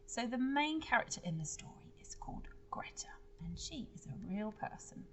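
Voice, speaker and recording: A woman's voice: a little high, very animated, moderate pace, in a whisper. A woman reading a storybook aloud to children like a teacher, expressively, with explanatory asides and questions to her listeners.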